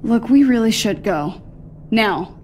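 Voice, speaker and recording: A woman's voice: a little high, animated, takes it slowly, darkish tone, a little hiss or hum. An actress playing an earnest, eager young superhero fangirl in a video game cutscene. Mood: anxious, urgent.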